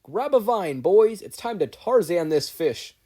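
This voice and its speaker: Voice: medium pitch, very animated, measured pace, dark tone, voice pushed up. A performer voicing a bold, absurdly serious female superhero squad leader in a story reading. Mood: bold, commanding.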